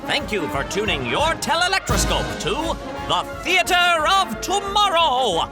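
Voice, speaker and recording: A man's voice: extremely high pitch, sing-song, unhurried. A man announcing credits as a theatrical, formal old-time radio announcer, reading from a script. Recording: loud music under the voice. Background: loud music.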